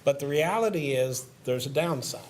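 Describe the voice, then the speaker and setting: Measured older man in a panel talk. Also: medium pitch, animated, a little hiss or hum. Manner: measured, thoughtful, lecturing tone. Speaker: panel guest. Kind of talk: casual panel discussion.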